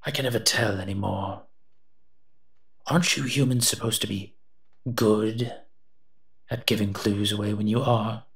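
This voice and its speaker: A man's voice: lowish voice, steady tone, measured pace, long pauses. A man voicing a sardonic, protective and menacing monster who teases and mutters asides in a roleplay audio drama. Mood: sarcastic, teasing. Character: eight-foot male smoke monster, sardonic, menacing, protective.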